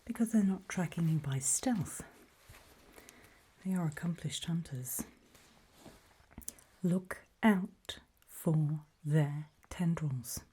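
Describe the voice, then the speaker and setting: A woman performs an ASMR roleplay as a calm guide, whispering close to the microphone. Her voice is very breathy and very mellow, sitting low for a woman. She instructs deliberately, leaving pauses between short phrases.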